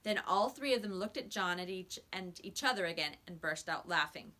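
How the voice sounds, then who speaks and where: A woman reading a children's story aloud in expressive narration. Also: on the low side, moderate pace. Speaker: storybook reader.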